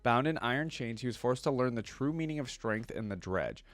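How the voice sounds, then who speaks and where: A man's voice: medium pitch, lively intonation, a bit bright, no echo. A man making a reaction video, switching between reading lore aloud and informal, musing commentary. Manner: informal, pausing to explain and muse.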